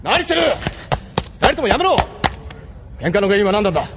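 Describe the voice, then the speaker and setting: An actor playing a stern, weary, responsible male ship captain in an anime audio drama, gruff and authoritative. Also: sits high, sing-song, fairly quick, noisy background. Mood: commanding, angry.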